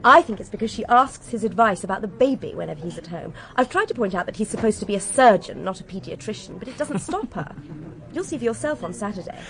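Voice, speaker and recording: An actress playing a cool, sharp-tongued young widow with an upper-class English accent, clipped and frank, in a radio drama. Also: female voice, mid-range voice, lively intonation, quick pace, a crowd in the background, close to an everyday voice. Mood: dry, irritated.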